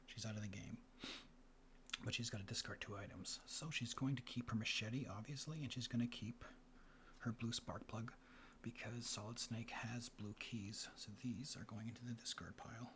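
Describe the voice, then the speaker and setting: A man hosting a board game in ASMR style, mixing soft speech and whispers as he murmurs an unhurried play-by-play. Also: medium pitch, steady tone. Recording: clear background noise. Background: room ambience, board game handling sounds.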